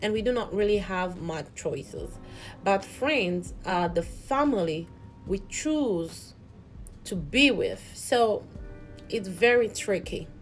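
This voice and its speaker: A woman's voice: mid-range voice, lively intonation, slow pace, soft background music, poor audio. A woman hosting a channel, giving an earnest, reflective spiritual motivational talk that often repeats and restarts words. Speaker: host.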